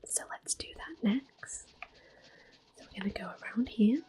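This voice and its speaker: A woman giving soft-spoken, often whispered ASMR commentary on a video game, in a gentle, hushed manner. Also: fairly high pitch, expressive, a little slow. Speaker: ASMR gaming host.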